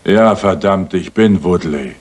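An actor playing a rude, aggressive male bully in a radio play, with a rough husky voice and coarse manner. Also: low-pitched, unhurried, darkish tone. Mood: belligerent. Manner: belligerent, coarse. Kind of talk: German radio play acting.

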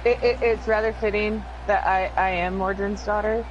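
A woman's voice: mid-range voice, fairly even intonation, normal speed, highs cut off, slight background noise. A woman co-commentating on a casual wrestling game stream, chatting and lightly teasing the host.

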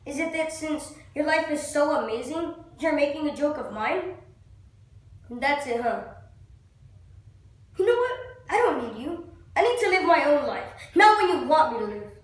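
A high-voiced, wounded young character played by a woman delivers a bitter dramatic monologue. The manner is accusing, intense and emotional, like amateur stage acting. It rings with heavy room echo. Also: a little slow. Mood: angry, accusing. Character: bitter, wounded young person angrily confronting God. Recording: very echoey, reverberant room.

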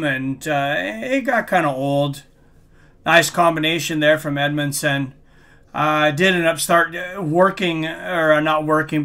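An American man hosts a sports stream in a fairly high, sharply bright voice. He moves between play-by-play and casual chat. His delivery is conversational and halting, as if thinking while he watches. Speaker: live stream boxing play-by-play host. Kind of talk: casual commentary mixed with chat. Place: small room, indoors, streaming at a desk.

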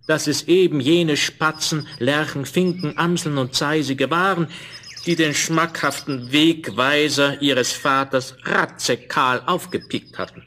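A man's voice: medium pitch, bright, forceful tone. A man narrating a scripted fairy tale in the manner of a 1970s children's audio play. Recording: noisy vinyl rip with clicks.

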